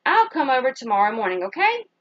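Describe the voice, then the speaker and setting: A woman's voice: sits high, very animated, fast talker, muffled recording. A woman voicing a kind, affectionate grandmother in a children's storybook, with a reassuring manner.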